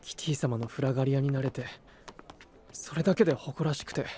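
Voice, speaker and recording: A man playing a sincere, soft-spoken young knight in an anime-style voice drama, earnest and full of self-doubt. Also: mid-range voice, fairly quick, a little hiss or hum, natural voice. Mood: regretful.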